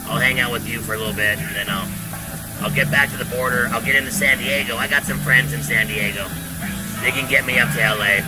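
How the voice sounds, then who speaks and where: A man's voice: medium pitch, steady tone, a little fast, over loud music, low-quality recording. Rambling joking male show host. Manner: loose, joking, repeats himself. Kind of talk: rambling comic monologue.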